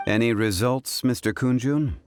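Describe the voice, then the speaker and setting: An actor voicing a calm, scholarly, dignified gentleman in a fantasy game, speaking in a measured, formal way. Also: medium pitch, clean tone, natural voice. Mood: calm, guiding. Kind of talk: anime game voice acting.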